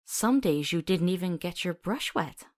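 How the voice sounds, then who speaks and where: A woman's voice: fairly low pitch, lively intonation, moderate pace, a little hiss or hum, close to an everyday voice. A woman playing a compassionate young doctor in a visual novel, talking tenderly and coaxingly as if telling a soothing story. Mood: tender, wistful.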